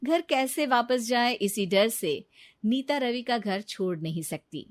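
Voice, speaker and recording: A woman's voice: a little high, lively intonation, slow pace. A woman narrating a scripted moral story.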